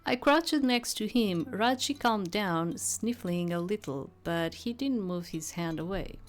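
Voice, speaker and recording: A woman's voice: medium pitch, expressive, a little slow. A woman narrating a visual novel aloud in a dramatic reading style, with casual asides. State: voice starting to tire. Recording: background music.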